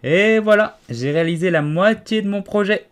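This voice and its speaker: A man's voice: sits high, moderate pace, pitched above the performer's own voice, close to an everyday voice. A man voicing an earnest, puzzled little girl in a storybook. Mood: musing. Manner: earnest, hesitant, a bit indignant.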